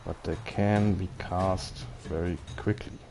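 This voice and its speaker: Slow-paced man with a lowish voice. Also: steady tone, creaky. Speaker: gaming video host. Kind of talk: gameplay commentary, reading tutorial text aloud. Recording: game music behind voice. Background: video game music.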